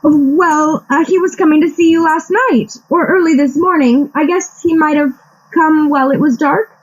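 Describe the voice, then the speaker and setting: An actress playing an anxious, coy damsel in distress in a comic radio play, halting and pleading, on a slightly dull recording. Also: female voice, high voice, fairly even intonation, close to an everyday voice. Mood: worried.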